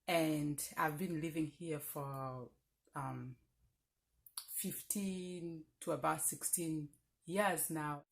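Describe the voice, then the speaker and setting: A woman in her thirties from Uganda with a strong Lugbara accent, talking earnestly and reflectively in a personal vlog, pausing often with fillers. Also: low voice, steady tone, gravelly. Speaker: vlogger. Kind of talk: casual personal talk to camera.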